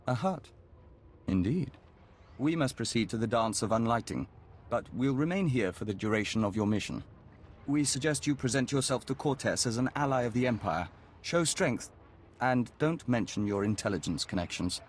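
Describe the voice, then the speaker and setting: A man playing a formal, enigmatic advisor with a deliberate, detached manner in a video game. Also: mid-range voice, animated, slight background noise, close to an everyday voice. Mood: measured advice.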